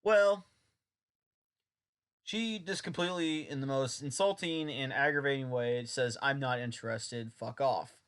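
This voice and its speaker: A man's voice: medium pitch, a little slow, a bit bright, clean tone. A young man reviewing an old anime dub in a casual, sarcastic, rambling way.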